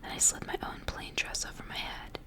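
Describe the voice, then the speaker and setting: A woman doing ASMR, reading a story aloud in a soft, breathy whisper. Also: brisk.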